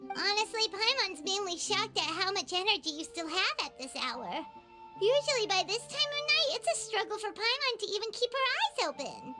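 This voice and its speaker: An actress voicing a tiny, excitable, childlike fairy companion in a video game, squeaky and indignant. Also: female voice, moderate pace, over music. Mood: surprised.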